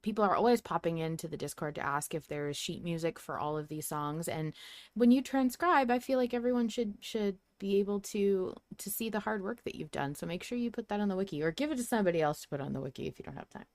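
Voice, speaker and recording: A woman hosts a casual podcast with chatty, playful enthusiasm. Her voice sits at an ordinary middle pitch and is recorded close and dry, with hardly any room sound. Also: fast, darkish tone, no echo. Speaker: podcast host.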